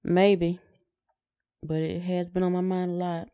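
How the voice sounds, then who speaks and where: A middle-aged American woman with a rather low, very mellow voice. She co-hosts in a casual, colloquial style, feeling her way tentatively through what she says. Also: level tone, measured pace, clean tone. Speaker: podcast co-host. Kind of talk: casual chat.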